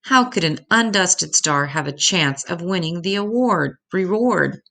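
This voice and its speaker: A woman reading a children's story aloud as an expressive storyteller. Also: fairly low pitch, a little slow, vocal fry.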